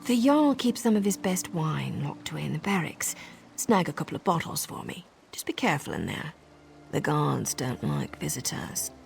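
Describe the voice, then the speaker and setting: A woman's voice: low voice, sing-song, moderate pace, gravelly, a little hiss or hum, exaggerated voice. A woman playing a sly, teasing assassin disguised as a servant in a video game. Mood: sly scheming. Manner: sardonic, playful, conspiratorial. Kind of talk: video game character acting.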